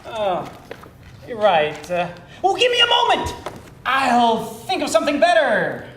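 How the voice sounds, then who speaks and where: In a roomy space, a woman acts a dim, nervous vampire hunter at a script reading. The voice is high and animated, hesitant and stammering, with sheepish pauses. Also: slight background noise. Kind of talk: live screenplay table read. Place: indoor live reading before an audience. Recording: roomy live venue.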